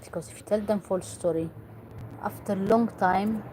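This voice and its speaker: A woman's voice: medium pitch, steady tone, normal speed, noisy background. A woman from Eritrea, earnestly giving parenting advice in English and repeating herself for emphasis. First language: Tigrinya or other Eritrean language. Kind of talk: earnest talk to camera.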